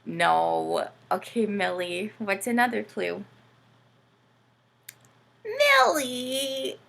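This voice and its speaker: A woman's voice: medium pitch, extremely slow, creaky. A woman hosting a children's storytime, talking playfully to young listeners and asking them lots of questions. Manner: animated, addresses children with frequent questions. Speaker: children's read-aloud host with a puppet sidekick. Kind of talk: playful children's storytime presenting and read-aloud.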